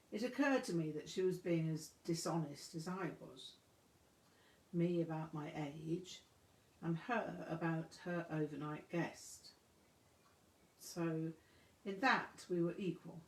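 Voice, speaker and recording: A woman narrating a memoir from a script with measured, deliberate phrasing. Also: low voice. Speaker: audiobook reader. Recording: echoey room.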